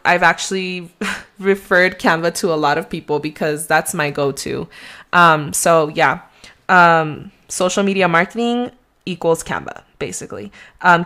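A woman's voice: lowish voice, fairly bright tone. A young adult woman with a slight Mexican accent, a real estate agent giving rambling, conversational advice on her solo podcast.

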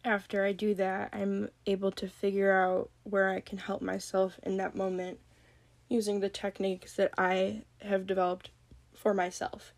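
A young woman with a slight cold hosting a solo podcast, talking calmly and gently. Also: mid-range voice, moderate pace, creaky, no echo. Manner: calm, gentle, reflective. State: has a cold. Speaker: podcast host. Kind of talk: solo podcast talk on mental health.

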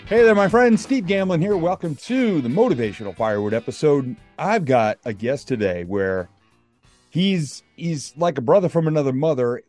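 A man's voice: fairly high pitch, expressive, moderate pace, a little mellow, soft background music. An American man hosting a podcast, introducing his guest and asking questions in an upbeat, chatty way. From United States. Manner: enthusiastic, chatty. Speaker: podcast host.

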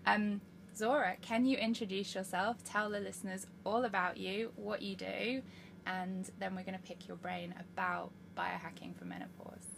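Gravelly woman hosting. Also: mid-range voice, fairly even intonation, moderate pace, fairly bright tone. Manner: friendly, giggly, lots of ums. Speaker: podcast host. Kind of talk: casual podcast interview. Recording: gravelly voice with hiss.

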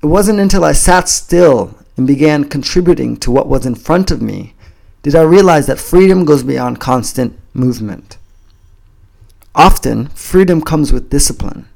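A man from the United States with an American accent, giving an earnest, measured, scripted pitch for his community program. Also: expressive, creaky, a little mellow, low-quality recording. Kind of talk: scripted promotional talk to camera. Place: home in a Japanese coastal town.

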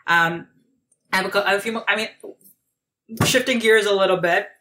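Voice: medium pitch, steady tone, fairly quick, bright, forceful tone, low-quality recording. An interviewer speaking earnestly, affirming the guest and framing questions with long, thoughtful lead-ins. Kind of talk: interview conversation.

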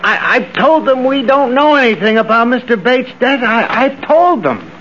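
An actor playing a nervous, weary accomplice, stammering and plaintive, in a 1940s radio drama. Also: male voice, very high-pitched, very animated, moderate pace, fairly bright tone, full character voice. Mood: frightened, weary. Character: frightened, weary male accomplice, whiny and nervous. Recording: muffled, faintly hissy old radio recording.

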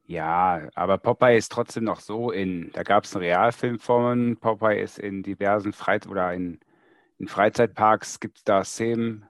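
A man's voice: on the low side, unhurried, no room sound, studio quality. A man taking part in a casual podcast chat as a panelist.

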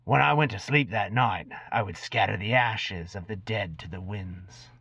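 A man's voice: fairly low pitch, normal speed, gravelly, breathy edge, full character voice. A man voicing an ancient, gaunt, cursed goblin, a brooding and unrepentant former killer, in a dramatic reading of a fantasy tale. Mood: grim, boastful. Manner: brooding storyteller recounting dark deeds. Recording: dull lo-fi recording in echoey room.

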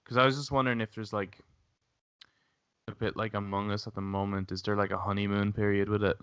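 A man's voice: on the low side, little pitch movement, brisk, clean tone, no echo, muffled recording. A man co-hosting a casual gaming podcast, thinking aloud and hedging his points. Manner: thoughtful, hedging. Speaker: podcast co-host. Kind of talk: casual chat.

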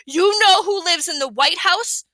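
Storybook furious lady, shrill and haughty; woman reader doing it. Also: a little slow, pushed and bright, no echo, studio quality, heavily acted. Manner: shrill, indignant.

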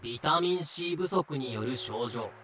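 A man's voice: mid-range voice, expressive, measured pace, sharp tone. Matter-of-fact male narrator over music. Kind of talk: scripted explanatory narration. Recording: music behind the voice.

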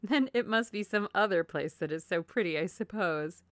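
A woman voicing a merry, silly young married gentlewoman in a classic novel, effusive and giggly. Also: medium pitch, moderate pace, no echo, studio quality, close to an everyday voice. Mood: playful, teasing. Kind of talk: audiobook character voice, classic novel.

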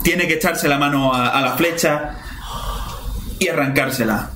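A man guides a tabletop game, narrating scenes in a calm, descriptive voice. His voice sits a bit high for a man. The room is very echoey, with clear noise behind him. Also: measured pace. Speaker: game master.

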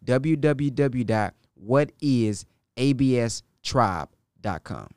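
A man's voice: mid-range voice, animated, slow, heavy background noise. A man hosting a podcast, delivering a promotional announcement addressed directly to the listener. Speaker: podcast host.

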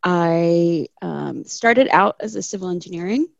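Young woman from Chile, gravelly chatty guest, dry muffled recording. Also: fairly low pitch, expressive, unhurried, no room sound. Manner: chatty, laughs often, quotes people in asides. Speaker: guest, landscape architect. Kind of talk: casual interview conversation.

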